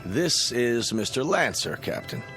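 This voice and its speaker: A man's voice: medium pitch, sing-song, unhurried. An actor playing a brash, sardonic, talkative American male official in a vintage television drama, blunt and wisecracking. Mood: pointed. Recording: old television soundtrack, faint music behind.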